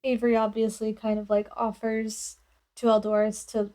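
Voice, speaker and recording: A woman's voice: a little high, completely flat delivery, normal speed, warm tone. A woman taking part in a tabletop roleplaying game, describing her character casually.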